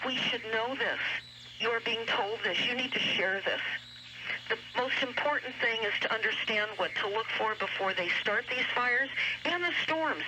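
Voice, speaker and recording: A woman giving an earnest, emphatic explanatory talk on a shortwave radio broadcast, speaking deliberately. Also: on the high side. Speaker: radio show guest commentator. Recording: noisy shortwave radio broadcast, heavy static. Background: loud radio static and noise.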